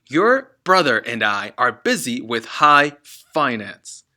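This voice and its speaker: A man's voice: mid-range voice, very animated, slow, pushed and bright, exaggerated voice. A man voicing a boastful, crooked father in a storybook, smug and emphatic as he bullies and blusters. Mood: angry, blustering.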